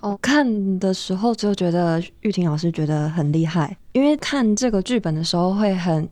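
A woman's voice: on the low side, lively intonation, moderate pace, mellow, no echo. A woman with a Taiwanese Mandarin accent speaking casually as a guest in an interview. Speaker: podcast guest, actress.

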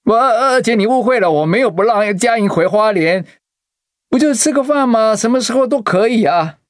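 A caring, easygoing middle-aged husband in a radio drama. His conversational voice sits a little high and moves widely in pitch. The sound is dry, with no room around it. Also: moderate pace, no room sound. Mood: flustered. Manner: expressive, conversational.

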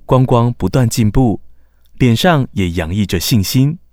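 A man narrating a children's radio drama in measured, expressive storytelling. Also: mid-range voice, no echo, clean studio sound, close to an everyday voice. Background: background music.

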